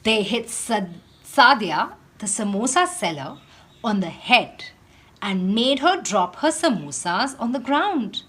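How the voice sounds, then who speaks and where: A woman's voice: fairly high pitch, very animated, slow pace, background noise. A woman storyteller reading a children's storybook aloud in a theatrical manner, acting out the sighs and exclamations.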